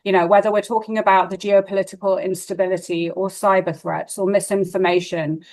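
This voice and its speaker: A woman speaking fluently and articulately as a risk management specialist in a casual conversation, often checking in with a quick "right?". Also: mid-range voice, steady tone, darkish tone.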